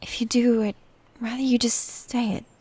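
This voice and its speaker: A woman playing a jealous, needy elf wife in an intimate ASMR roleplay, speaking softly and haltingly with a sulky edge. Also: a little high, level tone. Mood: jealous, annoyed. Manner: soft, breathy, halting, sulky delivery. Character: jealous, possessive, needy tsundere elf wife, female warrior.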